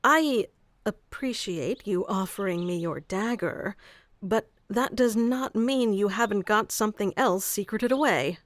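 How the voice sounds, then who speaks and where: A woman's voice: mid-range voice, unhurried, lightly acted. A woman playing a haughty, easily flustered young female elf guarding her forest in a scripted fantasy roleplay, with prickly, old-fashioned wording. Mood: stern, suspicious. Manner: prickly tsundere, archaic wording, stammers when flustered.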